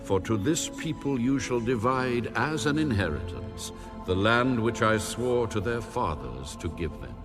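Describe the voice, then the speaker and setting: An actor playing God in a dramatised audio Bible, speaking with solemn, weighty, commanding reassurance. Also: male voice, mid-range voice, big pitch swings. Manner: slow, measured, weighty delivery. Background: new-age music.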